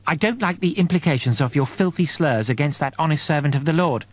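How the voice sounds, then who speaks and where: A man's voice: on the high side, a little fast, no room sound, lightly acted. A man voicing a fanatically devout, indignant woman on a spaceship crew in an audiobook, declaiming piously.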